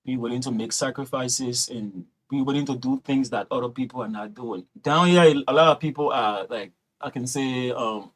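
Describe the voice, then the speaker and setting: A man's voice: mid-range voice, moderate pace, hardly any pauses, a bit bright, low-quality recording. Man, thoughtful film guest. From Liberia. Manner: thoughtful, frequent "you know". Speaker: filmmaker guest. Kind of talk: casual interview answers.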